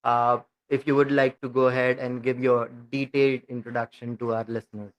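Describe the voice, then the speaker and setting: A man's voice: mid-range voice, level tone, a little fast, poor audio. Polite, formal man hosting a podcast. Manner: polite, formal, grateful, with fillers. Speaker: podcast host.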